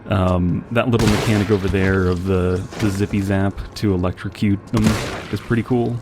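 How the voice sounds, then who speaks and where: A man's voice: deep voice, steady tone, moderate pace, noisy background. A man giving casual, rambling commentary as he plays a video game, with wry joking asides. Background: game music and gunfire.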